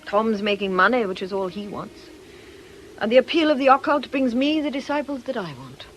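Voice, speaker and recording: A woman's voice: a little high, animated, a little fast, a little hiss or hum, natural voice, rough recording. A woman playing an ailing, middle-aged fortune teller in a radio drama, scornful and theatrical. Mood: bitter. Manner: world-weary, scornful, declamatory.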